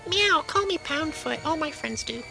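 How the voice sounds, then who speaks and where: A wheedling cartoonish cat, extremely high and bright. The patter is sly and salesy, broken up by meows, over faint music in a comedy sketch. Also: female voice, lively intonation, moderate pace, gravelly, exaggerated voice. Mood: salesy, coaxing. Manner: meows between phrases, coaxing salesy patter. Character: sly talking cat recruiter, wheedling tempter.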